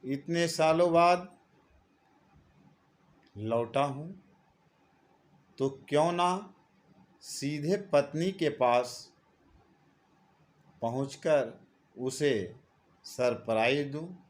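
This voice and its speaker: A man reading as a very slow, hot-tempered merchant husband. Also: a little high. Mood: musing, eager. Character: rich merchant husband returning home, impulsive and hot-tempered. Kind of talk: storybook character lines read by narrator.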